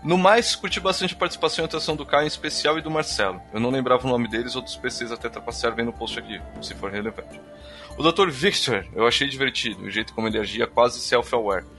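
A man's voice: mid-range voice, fast talker, pushed and bright, faint music behind. A man with a Brazilian accent, an irreverent, slangy podcast co-host casually reading out listener feedback and bantering.

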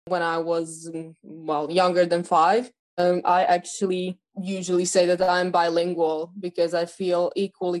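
A woman's voice: fairly low pitch, a little mellow. An eighteen-year-old woman from Ukraine, a native Russian speaker, answering interview questions with many fillers and restarts. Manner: quick, with frequent fillers and restarts. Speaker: podcast guest, polyglot.

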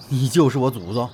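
A man's voice: mid-range voice, a little mellow, slight background noise, lightly acted. A man acting in an audio drama as a chatty, exasperated but devoted celebrity agent, colloquial and wheedling. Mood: baffled.

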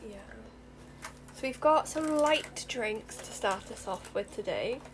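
A young woman with a slight lisp and a voice pitched a little high. She chats quickly and casually, laughing often as she co-hosts a relaxed podcast. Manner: chatty and quick, with frequent laughs. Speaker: podcast co-host. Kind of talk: casual chat while getting ready.

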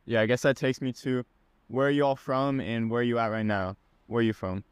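A man hosts a casual group interview and asks questions in a relaxed, slightly halting way. His voice is smooth and mid-pitched. The sound is close and dry. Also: no echo.

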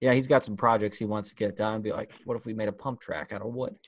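Male podcast co-host, lowish voice, halting off-the-cuff banter, close dry sound. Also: fairly even intonation, dry recording. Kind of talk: casual chat among friends.

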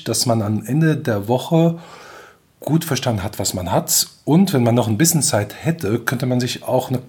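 A man's voice: medium pitch, darkish tone. A middle-aged man from Belgium with a French accent, a professor answering interview questions, explaining step by step with frequent hesitations. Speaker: professor of applied mechanics.

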